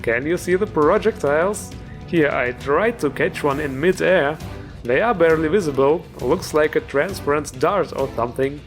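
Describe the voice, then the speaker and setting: A man's voice: on the high side, moderate pace, faint music behind. A man hosting a video game mod show, giving informal, enthusiastic commentary with a joking streak. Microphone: voice-over recorded over gameplay music.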